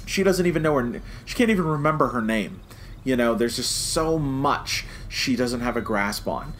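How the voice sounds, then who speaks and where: Male podcast co-host, medium pitch, casual and emphatic explainer, audible background noise. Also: animated, normal speed, fairly bright tone. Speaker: podcast co-host reviewing a TV series. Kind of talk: casual chat, review discussion.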